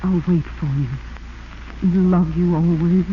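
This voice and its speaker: Actress as devoted young wife, muffled old radio. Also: female voice, fairly low pitch, steady tone, measured pace, close to an everyday voice. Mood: pleading, tender. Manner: earnest, melodramatic. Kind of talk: 1940s radio drama acting. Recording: muffled, faintly hissy 1940s radio.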